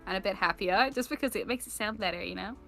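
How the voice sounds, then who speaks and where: A young woman with a British accent chats on her stream, laughing often and reading out comments from viewers. Her voice is bright and clear, at an ordinary pitch for a woman, with faint music playing underneath. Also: fast. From Britain. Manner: playful, giggly, reads chat aloud. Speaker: vtuber streamer. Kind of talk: casual stream chat.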